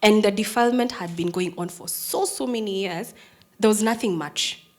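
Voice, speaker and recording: A Kenyan woman in her thirties speaks to an audience about her work as a prosecutor, in the tone of personal storytelling. Her voice is strong and firm, in an ordinary middle range for a woman. She drops to hushed, almost whispered lines and then rises loud for emphasis. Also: medium pitch, moderate pace. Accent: Kenyan English. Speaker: prosecutor, speaker giving a talk.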